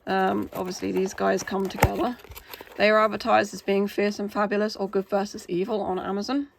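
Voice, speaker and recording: A woman, hoarse from a cold, chatting casually as she unboxes toys. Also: medium pitch, flat delivery, a little fast, a little hiss or hum, poor audio. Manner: chatty, with frequent "um" fillers. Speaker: toy unboxing channel host.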